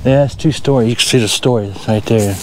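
A man's voice: medium pitch, quick pace, noisy background. A middle-aged man with a Southern US accent talks casually and in a folksy, easygoing way, narrating an outdoor vlog on an action camera. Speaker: metal detecting vlogger. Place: outdoors in open farm fields. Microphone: handheld or body-worn action camera.

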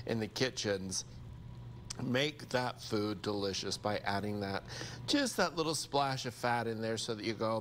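An American man in his sixties gives a cooking demonstration in a studio kitchen. His voice sits at a middle pitch and he talks through each step conversationally. There is clear ambient noise behind him. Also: fairly bright tone. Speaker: TV chef presenting a cooking segment.

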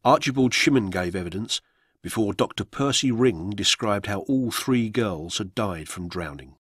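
A man narrates a historical account for radio in a solemn, measured way. His voice sits a little low and has a husky grain. The recording is dry and close, as in a studio. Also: expressive, no echo. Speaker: narrator reading true-crime history.

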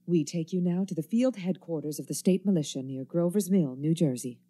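An actress playing a formal, composed radio news announcer reading bulletins in a 1930s-style radio drama. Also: female voice, on the low side, a little fast, warm tone, close to an everyday voice. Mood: composed urgency.

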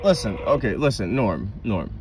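A man in a casual phone conversation, talking informally and haltingly, often repeating his phrases. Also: fairly low pitch, very animated, distant mic. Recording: off-mic phone call, faint car noise.

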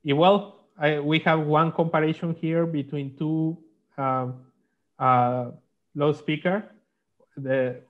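A man explaining technical slides in halting, on a video call. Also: fairly high pitch. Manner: halting, non-native English phrasing. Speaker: guest, magnetics consultant. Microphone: video call microphone. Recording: dull lo-fi online call.

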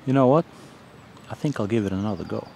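A man in his thirties giving excited, laughing commentary while fly fishing at a lake in a city park, filmed by a camera some distance away. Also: on the low side, fast, creaky. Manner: excited, laughing, exclaiming over the fish. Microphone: camera mic from a distance. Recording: outdoor ambience noise. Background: outdoor ambience.

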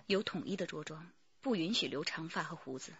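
An actress playing a poised, guarded company manager in a radio drama, with a breathy voice and careful delivery. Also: female voice, sits low, animated, a little fast, a bit bright, no room sound, lo-fi sound, full character voice. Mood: firm.

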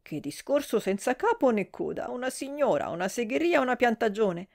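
A woman voicing a brash, gossipy middle-aged woman who speaks in shrill, exclamatory outbursts. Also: sing-song, moderate pace, gravelly, a little mellow, exaggerated voice.

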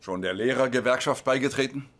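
A man's voice: medium pitch, a little fast, a bit bright, lightly acted. A man acting in a German sitcom dub as a cantankerous, blustering old father-in-law, indignant and scornful.

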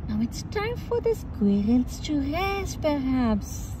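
A woman reading a children's book aloud in an expressive, sing-song way, as a presenter would to small children. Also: high-pitched, takes it slowly, noisy background. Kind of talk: expressive read-aloud storytelling.

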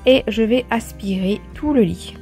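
A woman's voice: fairly high pitch, measured pace. A woman giving a chatty, step-by-step explanatory voice-over in a casual household vlog style. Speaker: vlogger, host. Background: soft background music.

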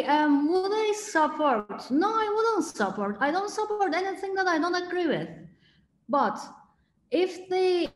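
A woman in her forties from Cyprus, whose first language is Turkish, speaking earnestly with many pauses as a panelist in an online talk over a video call. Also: fairly high pitch, roomy sound, slight background noise, rough recording. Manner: earnest, measured, many ums. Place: home office before a red wall.

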